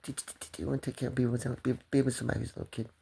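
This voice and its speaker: A man's voice: mid-range voice, level tone, rapid-fire, gravelly, dark tone, slightly rough. A man talking to the camera in a rambling, swearing monologue that jumps between topics.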